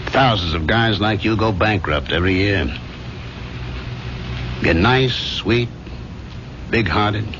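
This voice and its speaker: A man's voice: on the low side, slow, pauses often. An actor playing a brash, domineering businessman in a 1970s radio drama, with glib, slangy, overbearing patter. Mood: lecturing, scornful. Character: brash, fast-talking, domineering businessman boss. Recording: dull, noisy old radio broadcast recording.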